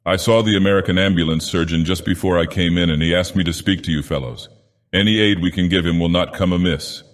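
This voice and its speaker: A man's voice: low voice, fast, natural voice. A man reading the lines of a brooding young man who speaks curtly and grumbles. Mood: confiding. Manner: curt, grumbling. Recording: long reverb.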